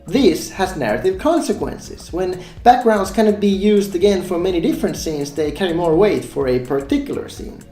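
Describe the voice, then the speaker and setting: Animated man explaining in a roomy space. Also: sits high, normal speed, hardly any pauses, faint music behind. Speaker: game developer and vlog host. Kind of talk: scripted explainer vlog narration.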